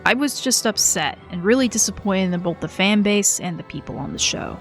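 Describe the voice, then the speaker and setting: A woman narrating a scripted video essay in a measured, earnest way. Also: mid-range voice, lively intonation, faint music behind.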